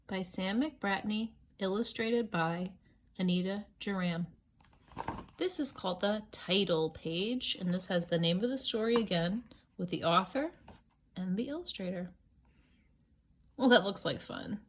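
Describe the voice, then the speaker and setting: A woman reads a picture book aloud at a slow pace. Her voice sits at a medium pitch, and she explains things simply, as to small children. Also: slight background noise. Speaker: storybook reader.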